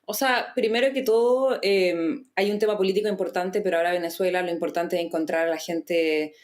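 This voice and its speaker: A woman's voice: fairly low pitch, fairly even intonation, fairly quick. A woman from Chile, a guest analyst on a news panel, speaking fluently and emphatically. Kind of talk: debate commentary.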